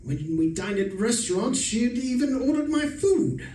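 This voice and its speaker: An actor playing a meek henpecked husband who quietly schemes, mild and slyly understated, in an old-time radio suspense style. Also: male voice, extremely high pitch, sing-song, moderate pace. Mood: wistful, sly. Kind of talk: old-time radio suspense acting with first-person narration. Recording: roomy, distant stage pickup, music behind.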